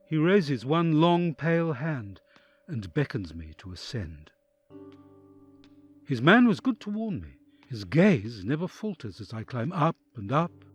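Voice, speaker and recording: A man's voice: on the high side, no room sound. A man playing an awed, anxious envoy who narrates a dramatic audio drama in the first person. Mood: tense, dreading.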